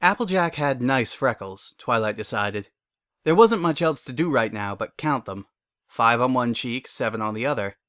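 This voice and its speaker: A man narrates a scripted story in a medium-pitched voice. The recording is dry, with hardly any room sound. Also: lively intonation, moderate pace, a bit bright, dry recording.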